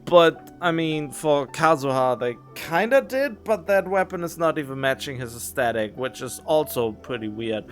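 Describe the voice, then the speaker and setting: A man's voice: a little high, fairly bright tone. A man giving casual gameplay commentary as a streamer, musing to himself in a rambling way. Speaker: game streamer.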